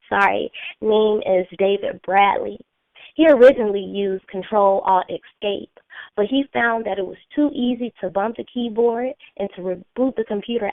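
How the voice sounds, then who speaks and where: A woman's voice: medium pitch, creaky, no room sound. A woman hosting a motivational call, talking earnestly in a slightly scratchy voice from a sinus infection. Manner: earnest, coaching, repeats key phrases. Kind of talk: motivational talk on a phone call. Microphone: phone conference line. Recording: dry tinny phone line.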